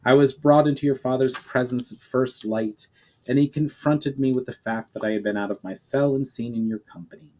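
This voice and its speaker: A man's voice: mid-range voice, fairly even intonation, brisk, dark tone, close to an everyday voice, poor audio. A man voicing a courteous male elf prisoner in a fantasy storybook, composed, formal and reasoned.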